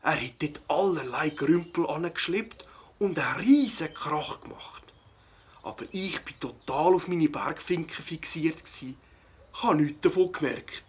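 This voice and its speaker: A mild, fussy bird-lover played by a man, with a husky, breathy voice. He speaks deliberately in a Swiss German accent, absorbed in his hobby, as a character in a children's audio play. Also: on the high side. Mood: explaining.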